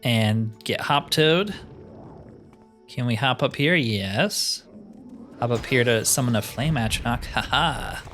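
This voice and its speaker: A man's voice: medium pitch, takes it slowly, a bit bright. A man giving casual playthrough commentary as a video game host, thinking aloud with self-deprecating humor. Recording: music behind the voice. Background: game music.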